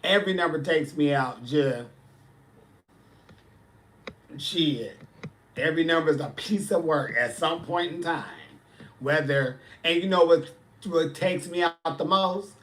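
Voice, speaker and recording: A man's voice: fairly high pitch, unhurried, fairly bright tone. Sassy man in his forties, chatting live. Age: 40s. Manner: sassy, chatty, reads and answers comments. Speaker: livestream host. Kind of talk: casual livestream chat.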